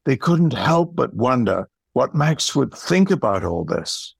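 Slow-paced man narrating an audio play. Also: mid-range voice, very animated, gravelly, husky, no room sound, clean studio sound.

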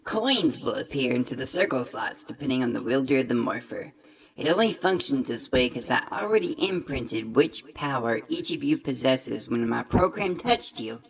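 A man's voice: medium pitch, animated, normal speed, creaky, poor audio. A man playing an upbeat robot helper in a fan audio drama, explaining things in a chirpy manner. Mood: eager, explanatory.

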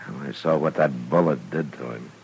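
A very low, weary voice as a frontier marshal. He is stern and authoritative, in the style of vintage radio western drama. The old recording carries light noise. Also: normal speed, gravelly, rough recording. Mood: weary, troubled. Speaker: lead actor in a western radio serial.

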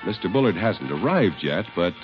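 Low, dramatically swooping male radio announcer. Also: very animated, normal speed, over music. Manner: salesmanlike, emphatic phrasing. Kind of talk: 1950s radio advertising and scripted narration. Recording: muffled 1950s radio recording, faint noise.

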